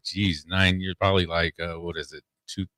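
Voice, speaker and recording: A man with a low, bass-heavy voice and an easygoing manner, rambling through his stories as a comedian guest on the radio. The sound is dry and close, with no room around it. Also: fairly even intonation, moderate pace, pushed and bright, no room sound. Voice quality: deep bass voice. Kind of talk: casual radio interview. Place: radio studio.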